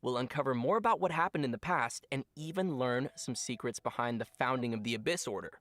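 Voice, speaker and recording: A man's voice: medium pitch, a little fast, fairly bright tone, no room sound. A man co-hosting a game preview show, delivering scripted updates with some light banter.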